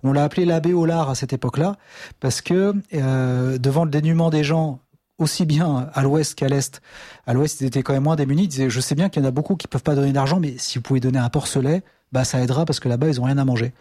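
Hesitant man, mellow voice. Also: mid-range voice, fairly even intonation, fast talker, no echo, clean studio sound. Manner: hesitant, many fillers and restarts. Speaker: charity representative. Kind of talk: interview answers.

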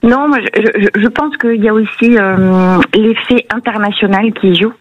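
A woman's voice: mid-range voice, moderate pace, slight background noise. A woman from France, calling a radio show and giving her views conversationally, often searching for words. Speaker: radio phone-in caller. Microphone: phone call to radio studio. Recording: dull, band-limited phone-in line.

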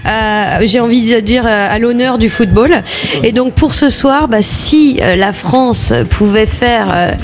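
A woman chatting as a guest on a live radio show, lively and laughing, with many fillers. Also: a little high, measured pace. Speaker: stage performer, radio guest. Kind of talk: casual chat on live radio.

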